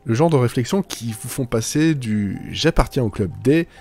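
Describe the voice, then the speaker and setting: A man's voice: mid-range voice, expressive, normal speed, darkish tone, husky, faint music behind. A man narrating a scripted humour podcast in a wry, self-deprecating manner.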